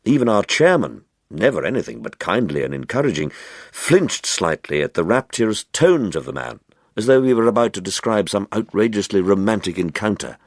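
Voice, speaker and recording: A man reading a literary short story as narrator, with measured, expressive, dramatic phrasing. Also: fairly low pitch, dry recording, studio quality. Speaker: audiobook reader.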